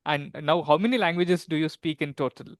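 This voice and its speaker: A man with a fairly high voice asks interview questions. His sound is dry and close. He is hesitant and often repeats words or restarts his sentences. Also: brisk, no room sound. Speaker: interviewer, English teacher.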